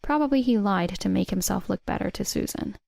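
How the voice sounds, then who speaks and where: Female narrator for first-person drama stories: measured read, mid pitch, creaky edge, very dry sound. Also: lively intonation, a little mellow, no echo. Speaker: story narrator.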